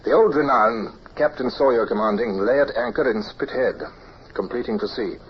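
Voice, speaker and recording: A male actor playing a composed, dutiful British naval officer in an old radio drama, speaking in a measured, formal British manner. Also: mid-range voice, close to an everyday voice. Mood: reflective. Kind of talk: old-time radio drama acting and narration. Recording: muffled old radio recording with hiss.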